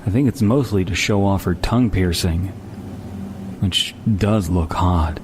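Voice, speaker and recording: A man's voice: deep voice, a little slow, noisy background. A man playing an awkward, smitten gift-shop coworker narrating his thoughts in a scripted comic parody.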